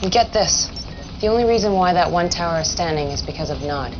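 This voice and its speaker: An actress playing a businesslike military intelligence officer giving an urgent, matter-of-fact briefing in a video game cutscene. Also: female voice, on the low side, lively intonation, a little fast, natural voice. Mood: focused, intrigued. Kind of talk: dramatic live-action game cutscene acting. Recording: dull lo-fi audio with clear noise.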